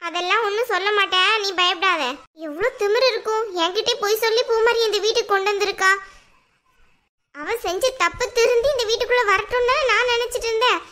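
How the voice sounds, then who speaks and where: A woman's voice: very high voice, fast. A woman playing a stern scolding grandmother. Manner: scolding, sharp-tongued.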